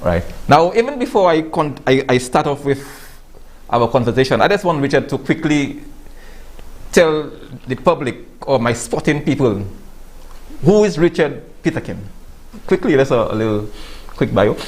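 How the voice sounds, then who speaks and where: A man's voice: mid-range voice, lively intonation, normal speed. A man hosting a studio sports talk show, chatty and laughing, often repeating words as he introduces his guest.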